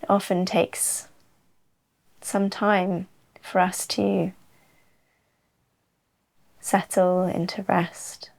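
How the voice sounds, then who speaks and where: A woman leading a guided yoga nidra relaxation as the teacher, in an unhurried, soothing way with long pauses. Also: lowish voice, level tone, vocal fry, a little mellow. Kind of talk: slow guided relaxation narration.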